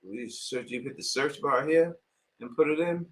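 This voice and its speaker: Middle-aged New man, live-stream host, bright edge, casual and wandering delivery. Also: fairly high pitch, moderate pace, rough recording. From Queens, New York. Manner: rambling, lots of filler phrases.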